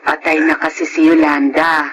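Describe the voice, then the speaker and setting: A woman's voice: low-pitched, little pitch movement, normal speed, fairly bright tone, slight background noise, low-quality recording. An actress playing a caring woman of the family in a Filipino radio drama. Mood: gentle, sorrowful. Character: chatty wife or gentle elderly mother.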